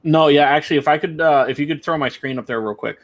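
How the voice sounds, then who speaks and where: A man's voice: fairly high pitch, fast talker. A man on a video call explaining how he chooses words to study, thinking aloud through examples. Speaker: panelist, software coach. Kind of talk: casual explanation.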